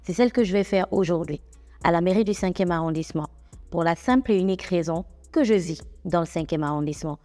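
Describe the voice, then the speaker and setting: A young adult woman, presenting civic information in a clear, measured, explanatory way. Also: fairly low pitch, dark tone, big-room sound, soft background music. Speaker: radio host and reporter.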